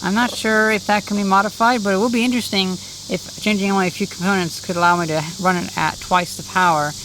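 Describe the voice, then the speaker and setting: Man, notably high voice, casual vlogger, unsure and self-effacing, outdoor recording with clear background noise. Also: fairly even intonation, fast. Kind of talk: casual hobby vlog narration. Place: outdoors in rural countryside. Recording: noisy outdoor field recording.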